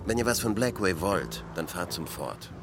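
An actor playing a gruff, huge bearded motel manager in an audio drama, curt and dismissive. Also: male voice, deep voice, expressive, moderate pace. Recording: clear ambient noise behind.

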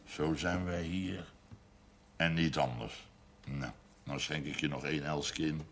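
A man playing a village parish priest in a television drama, gravelly and husky, telling stories slowly and emphatically. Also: a bass voice, fairly even intonation, very noisy. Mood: convivial. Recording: 1970s television drama soundtrack.

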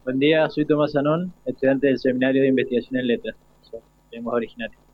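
A young Argentine man with a medium-pitched voice speaks as a student guest in an unscripted interview. His audio is narrow and phone-like. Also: level tone, fast, no echo. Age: young adult. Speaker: university literature student as guest. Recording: thin phone-like radio sound.

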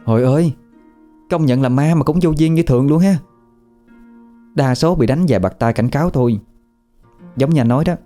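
A bold, sharp-tongued young woman with a Southern Vietnamese accent, put on by a male narrator in a raised, higher voice. She mocks and teases without softening, and her tone stays dark and mellow under soft guitar music in an audio story. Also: natural voice. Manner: blunt, teasing, mocking.

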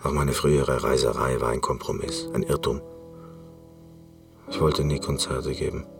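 Very deep, gravelly, weary recluse. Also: male voice, flat delivery, normal speed. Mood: weary, bitter. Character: world-weary, disillusioned, reclusive male former concert performer. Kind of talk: radio play monologue. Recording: distant mic, music behind.

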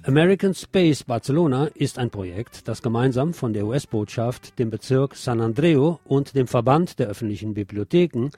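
A man's voice: mid-range voice, lively intonation, moderate pace, hardly any pauses, dark tone, slightly rough, dry recording, soft background music. A man presenting scripted news with commentary, explaining items in an opinionated way.

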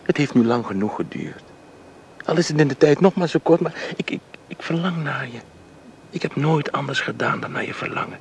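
A man playing a smitten young painter, gravelly low voice, hushed whispers, radio play, faint hiss. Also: lively intonation, a little fast. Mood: pleading. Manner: soft, intimate, often whispering. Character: tender young male painter in love. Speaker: suitor Jaap. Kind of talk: radio drama acting.

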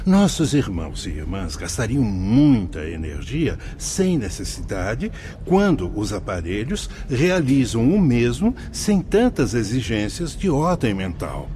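A Brazilian man playing an earnest, kindly spirit guide in a radio drama, speaking in a formal, measured, instructive way. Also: medium pitch, sing-song, warm tone, slight background noise. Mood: patient explaining. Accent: Brazilian Portuguese.

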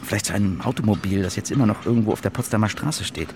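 Earnest young man, low-voiced, noisy surroundings actor. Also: very fast, lightly acted. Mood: brooding, thoughtful. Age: young adult. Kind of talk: radio drama acting. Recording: clear vehicle and ambience noise behind.

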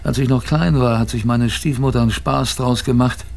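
An actor playing a gruff but fond old sailor grandfather, telling his memories in a salty, colloquial radio-play manner. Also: medium pitch, fairly even intonation. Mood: wistful reminiscing. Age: older. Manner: colloquial, salty storytelling with musing pauses. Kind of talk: radio drama first-person narration.

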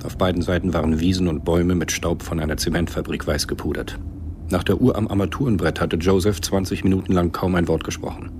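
A man with a very deep, husky voice, reading scripted literary narration in a radio play. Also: steady tone, fast talker, noisy background.

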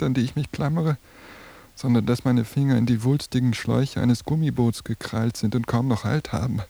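A man's voice: mid-range voice, vocal fry, warm tone, dry recording. An actor playing a fearful young man caught in a nightmarish vision, narrating his inner thoughts in a haunted, intense radio monologue. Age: young adult. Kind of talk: literary radio drama monologue.

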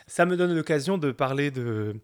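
A man co-hosting a music podcast, explaining things in a casual, halting way with repeated words. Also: on the high side, lively intonation, clean tone, no room sound, studio quality. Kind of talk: casual album review chat.

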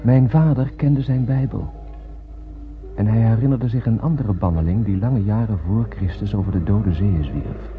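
A man's voice: fairly low pitch, normal speed, noisy background, close to an everyday voice. An actor playing a dreamy, solemn space wanderer who narrates his tale in a poetic, declamatory radio play manner. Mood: solemn, reflective. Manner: poetic, declamatory, dramatic, with hushed phrase endings. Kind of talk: scripted radio play monologue. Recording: tinny narrow-band radio recording with music.

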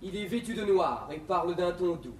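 An actor playing a deferential servant in a classical French verse stage comedy. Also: male voice, high-pitched, lively intonation, brisk. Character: deferential servant announcing a visitor. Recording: distant, echoey 1950s theatre recording.

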